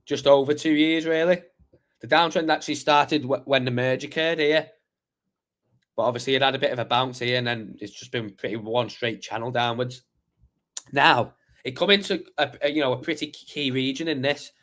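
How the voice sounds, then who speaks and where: A man hosts a live crypto stream, his voice a little croaky today. He is mid-pitched and talks through charts in a loose, rambling way, full of fillers and restarts. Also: moderate pace, fairly bright tone. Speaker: crypto livestream host. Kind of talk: casual live chart analysis.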